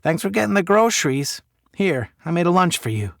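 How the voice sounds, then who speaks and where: A man voicing a caring, gently nagging mother of a grown son in an audio short story, coaxing and homely. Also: sits high, big pitch swings, fairly quick, creaky, no echo, clean studio sound, voice pushed up, heavily acted.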